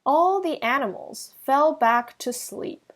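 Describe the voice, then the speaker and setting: Very slow-paced woman reading a children's story. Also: high-pitched, expressive. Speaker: storybook reader. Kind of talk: read-aloud children's story narration.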